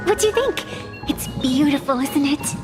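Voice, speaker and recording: A woman's voice: sits high, expressive, moderate pace. A woman voicing a spirited, proud young female arbiter in an anime-style game, with a theatrical delivery over music. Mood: playful. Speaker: game character voice actor.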